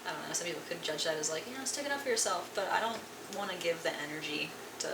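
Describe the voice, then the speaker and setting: A woman's voice: lowish voice, rapid-fire. Brief: young woman from Colorado, easygoing interview guest, filler-heavy, recorded far from the mic with background noise. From Colorado, USA. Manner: relaxed, filler-heavy, frequent "like". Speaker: guest musician. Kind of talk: casual interview conversation. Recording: echoey room, distant mic, noisy.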